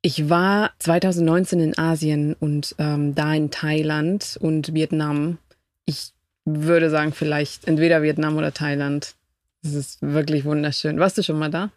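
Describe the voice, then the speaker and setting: Unhurried woman from Ecuador. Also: low-pitched, level tone, no echo. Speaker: podcast guest. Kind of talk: casual chat. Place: inside a camper van.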